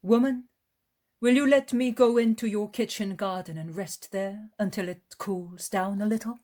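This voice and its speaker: A woman voicing a gentle, grave male teacher who speaks in calm, measured tones. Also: mid-range voice, pitched above the performer's own voice, natural voice.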